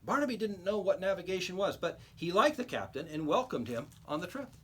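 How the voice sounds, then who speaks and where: A man reading a children's storybook aloud like a teacher, with expressive, emphatic storytelling. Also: high-pitched, brisk, slightly rough.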